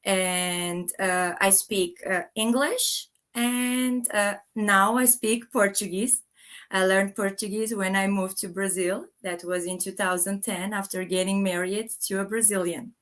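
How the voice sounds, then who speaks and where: A woman's voice: medium pitch, fairly even intonation, unhurried, fairly bright tone. Hesitant woman from Armenia presenting. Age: 30s. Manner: hesitant, many fillers and restarts. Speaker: guest speaker. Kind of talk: online talk presentation. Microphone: video call.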